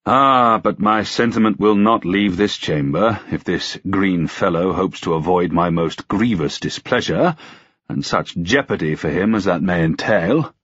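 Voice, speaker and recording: A man's voice: low-pitched, a little slow, fairly bright tone, no room sound, highs cut off. A man voicing an imperious, suspicious medieval duke with archaic, commanding, sardonic speech in an audiobook. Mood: haughty menace. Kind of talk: dramatised audiobook dialogue.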